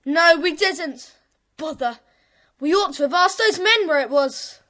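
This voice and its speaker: An earnest schoolboy in a children's audio play. The voice is very high and sharp, with a boyish, plaintive manner. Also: female voice, a little slow. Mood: disappointed. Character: Jack, earnest schoolboy club member. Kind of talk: children's audio drama acting.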